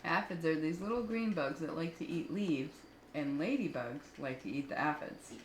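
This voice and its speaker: A woman reading stories aloud for children in an expressive, explanatory storytelling style, with small asides to the listeners. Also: sits low, moderate pace. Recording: roomy echo with faint background noise.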